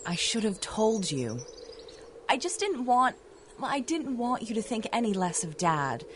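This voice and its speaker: A woman acts a young sweetheart in an old radio serial. She is tender and earnest, with a fairly high, very animated voice over faint background noise. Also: a little slow. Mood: regretful, tender. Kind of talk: 1930s radio drama acting.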